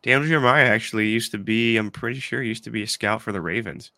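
A man's voice: fairly low pitch, quick pace, sharp tone, no room sound. Congested man hosting a sports podcast. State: congested from allergies. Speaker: podcast host. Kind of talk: casual sports chat.

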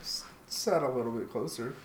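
A man's voice: medium pitch, normal speed. Teasing young man hosting, echoey room. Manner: teasing, laughs often. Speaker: podcast host. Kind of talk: casual banter among friends. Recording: echoey room with faint noise.

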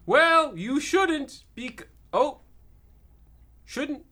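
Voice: high voice, animated, extremely slow, pushed and bright, exaggerated voice. An actor playing a haggard, cranky old witch with a theatrical, vengeful manner in a comic radio play. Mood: menacing, gloating.

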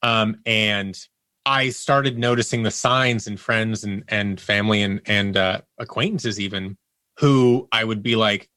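Bright-voiced man telling his story candidly. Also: lowish voice, steady tone, measured pace, no room sound. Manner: reflective, acts out inner thoughts as quoted speech. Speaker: interview guest. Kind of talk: candid personal storytelling in conversation.

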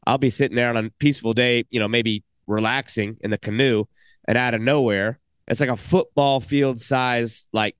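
An eager man hosting a relaxed podcast chat, his mid-pitched voice recorded close and dry with no room sound. He talks with enthusiasm, rambling and restarting his sentences as he goes. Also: moderate pace, smooth, no echo. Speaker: podcast host. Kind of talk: casual podcast interview.

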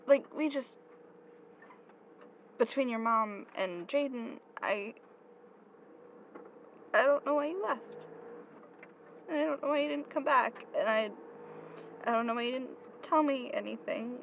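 A woman playing a distraught young woman in an audio drama, speaking haltingly and emotionally. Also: fairly high pitch, creaky. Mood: pleading, upset. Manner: halting, emotional, repeating herself. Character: distraught young woman pleading with her missing friend. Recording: muffled, noisy, vehicle-like ambience.

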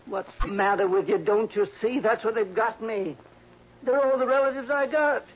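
High-pitched, cantankerous old miser. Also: male voice, moderate pace, breathy edge. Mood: defensive, indignant. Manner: irascible, scoffing, blunt. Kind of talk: 1960s radio drama acting. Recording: dull old radio recording with noise.

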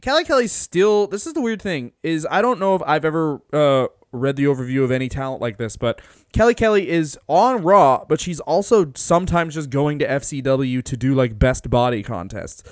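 Podcast co-host, male, smooth clear tone, dry close sound, laughs a lot. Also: on the high side, normal speed, hardly any pauses, no echo. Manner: laughs often, animated storytelling. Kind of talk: casual podcast chat.

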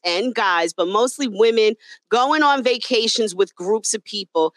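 A middle-aged woman hosting a casual podcast chat, animated, laughing often and swearing casually. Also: fairly high pitch, a little slow, no room sound. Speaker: podcast host.

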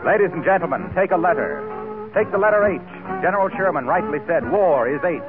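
A man's voice: a little high, animated, fairly quick, over loud music. An American man giving a formal, oratorical public appeal as a 1940s radio announcer. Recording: tinny 1940s radio recording.

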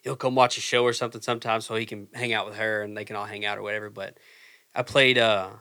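A man's voice: lowish voice, steady tone, fast, sharp tone. A man in his twenties chatting casually on a podcast, swearing freely and restarting his sentences. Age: 20s. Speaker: guest musician.

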